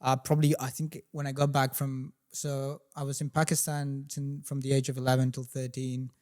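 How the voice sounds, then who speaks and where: A man's voice: mid-range voice, normal speed. Young man with a slight Spanish accent. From Spain. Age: 20s. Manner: relaxed, self-deprecating storyteller. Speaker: podcast guest, chemistry tutor. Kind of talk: casual interview chat.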